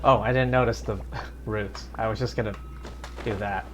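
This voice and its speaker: A man's voice: lowish voice, steady tone, normal speed, noisy background. A man giving casual, offhand live commentary as he streams a game, half talking to himself. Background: game music.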